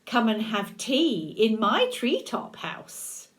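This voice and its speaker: A woman's voice: fairly high pitch, big pitch swings, slow. A hungry treetop owl, read by a grandmother. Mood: coaxing.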